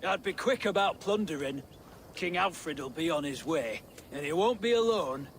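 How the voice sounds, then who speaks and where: A man's voice: on the high side, animated, normal speed, a little hiss or hum. A man playing a blunt, plain-spoken Saxon ally of a Viking warrior in a video game. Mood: grateful, warning. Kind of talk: dramatic video game acting.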